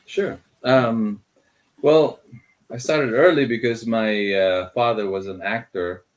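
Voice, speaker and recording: A middle-aged man from the Philippines, a guest in a casual interview, talking with many pauses and fillers and slipping Tagalog words into his English. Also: fairly low pitch, low-quality recording. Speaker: guest, filmmaker.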